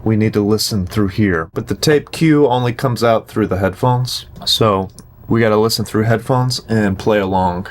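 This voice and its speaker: A man's voice: on the low side, normal speed. A man narrating a casual home-recording vlog as host, in a wry, self-deprecating way.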